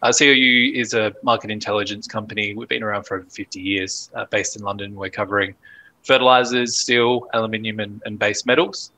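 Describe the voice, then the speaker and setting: A man in his thirties with an Australian accent, speaking as a guest on a video call and explaining things in a relaxed, steady way. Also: lowish voice, brisk, low-quality recording. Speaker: podcast guest, fertilizer analyst. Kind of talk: casual interview talk. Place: home office on video call.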